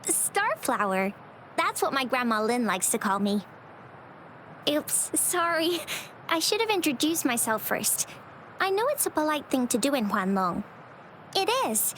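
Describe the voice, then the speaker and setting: A woman's voice: sits high, big pitch swings, unhurried, pauses often, a bit bright, a little hiss or hum, full character voice. A woman voicing a sweet, polite, slightly awkward young girl in a game, with overly formal courtesy. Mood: polite, eager. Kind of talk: scripted game dialogue.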